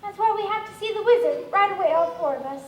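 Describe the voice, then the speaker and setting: A woman's voice: very high-pitched, lively intonation, quick pace. A young woman playing an earnest, polite farm girl who pleads eagerly, in 1930s film musical style. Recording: echoey old 1930s film soundtrack from far off.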